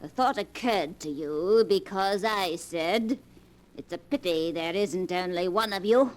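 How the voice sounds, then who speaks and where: An actress playing an elderly, coolly mocking, vengeful grandmother in 1940s radio style, deliberate and sardonic. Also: lowish voice, lightly acted. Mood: cool, accusing.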